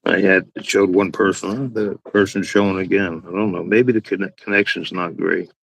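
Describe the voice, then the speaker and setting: A man with a deep, raspy voice hosting a live chat room, chatting casually to himself while he waits for people on a small external mic. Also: fairly even intonation, moderate pace, fairly bright tone, poor audio. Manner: rambling, talks to himself while waiting.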